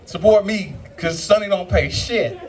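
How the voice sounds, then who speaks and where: A man's voice: high voice, unhurried, some chatter behind, a little hiss or hum. A man doing a brash, profane stand-up set in a brew pub comedy club, acting out other people in his bits.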